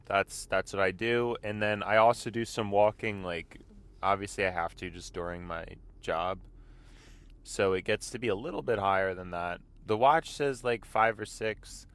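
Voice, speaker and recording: A man hosting his own show, talking casually while driving, with a congested voice from a mild illness. He rambles and keeps clearing his throat. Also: low-pitched, fairly bright tone. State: congested, slightly sick voice. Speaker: vlog host. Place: inside a car.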